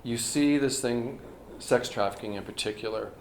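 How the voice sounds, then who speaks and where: A man's voice: mid-range voice, normal speed, slight background noise. A man who directs a nonprofit, starting an informal talk to an audience with hesitant restarts. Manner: hesitant, restarts, self-deprecating. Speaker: nonprofit executive director, speaker. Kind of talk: informal presentation. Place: college event room. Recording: echoey room.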